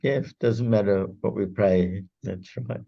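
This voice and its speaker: A man whose first language is Greek, talking in a casual group video call and pausing often with fillers. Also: fairly low pitch, gravelly, lo-fi sound. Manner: halting, lots of filler pauses. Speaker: prayer group member. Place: indoor room shared with another participant.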